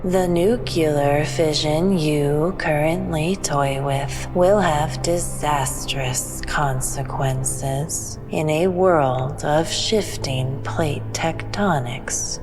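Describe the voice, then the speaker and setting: A serene alien woman, patient and all-knowing in a sci-fi audio drama. Her low voice moves slowly and deliberately, detached, wrapped in long reverb over music. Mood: serene, certain.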